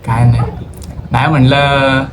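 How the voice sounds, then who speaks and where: A man's voice: mid-range voice, level tone, a little slow. A young man with a Maharashtrian accent chatting casually and teasingly as a vlogger, with slangy speech sprinkled with English words. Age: young adult. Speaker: vlogger making a prank call. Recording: clearly noisy phone-shot vlog audio.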